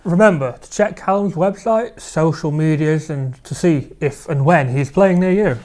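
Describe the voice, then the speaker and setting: Male co-host, lighter pitch, easygoing interviewer. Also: moderate pace, a little mellow. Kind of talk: studio chat show hosting.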